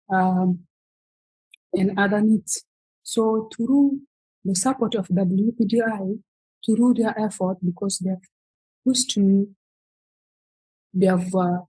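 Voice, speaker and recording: Halting young woman from South Sudan. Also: mid-range voice, warm tone, husky, no echo, low-quality recording. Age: 20s. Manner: halting, many fillers and restarts. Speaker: guest, footballer. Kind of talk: virtual interview answers.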